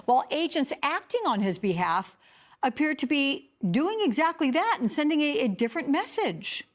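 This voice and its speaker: A woman's voice: high voice, very animated, moderate pace. A woman anchoring a news broadcast, putting questions to a guest in a presenter's style.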